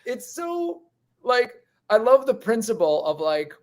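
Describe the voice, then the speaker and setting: A man's voice: very high-pitched, sing-song, measured pace. A man hosting a comedy podcast, riffing with sarcastic, crude jokes in casual chat.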